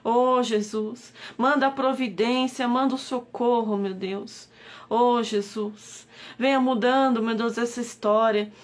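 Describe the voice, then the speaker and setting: A woman's voice: a little high, fairly even intonation, a little slow. A woman with a Brazilian Portuguese accent leading a devotional prayer on a live stream, pleading and repeatedly calling on God. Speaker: prayer leader.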